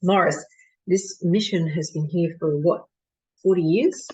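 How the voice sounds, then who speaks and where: Woman doing a jaded mission doctor in a stage play, bitter and frank, lowish voice, takes it very slow with big pauses. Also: warm tone, close to an everyday voice, rough recording. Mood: weary, despairing. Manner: deliberate, measured, with dramatic pauses. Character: disillusioned, world-weary colonial mission doctor, bitterly candid. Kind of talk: theatrical stage monologue.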